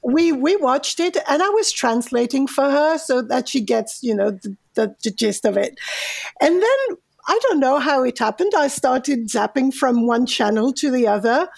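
High-pitched woman with gravelly fry. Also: normal speed. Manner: animated storyteller, laughs often. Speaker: guest translator. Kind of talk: casual conversation.

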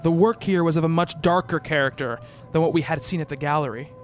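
A man acting a higher-voiced, earnest gothic narrator. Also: close to an everyday voice. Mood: measured, brooding. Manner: measured, literary, formal storytelling. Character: earnest, devoted boyfriend narrating a horror tale. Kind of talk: dramatic radio play narration and dialogue. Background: music.

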